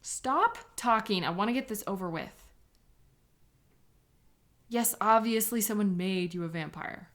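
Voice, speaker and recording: A woman's voice: mid-range voice, animated, a little slow, long pauses, a bit bright. A woman playing an embittered, contemptuous police sketch artist with a sarcastic, scornful manner in a dramatic audio roleplay. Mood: angry, disgusted.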